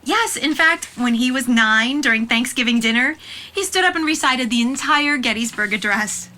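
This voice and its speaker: A woman acts an overprotective, well-meaning aunt in an audio drama. Her voice is high and bright. She picks her words carefully and fusses apologetically. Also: expressive, normal speed, a little hiss or hum. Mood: polite.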